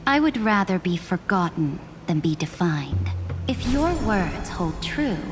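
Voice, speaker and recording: A woman's voice: on the low side, very animated, a little slow, over music. A stern regal warrior queen. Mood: stately. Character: proud female monarch and warrior, stern. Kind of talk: video game voice acting. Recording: game soundtrack behind.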